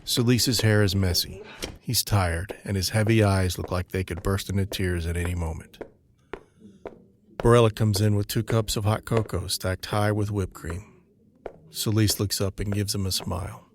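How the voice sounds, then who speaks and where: A man narrates a scripted audio drama in a very deep voice. He reads scene descriptions as the narrator. There is faint noise and some clicking in the recording. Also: fairly even intonation, moderate pace.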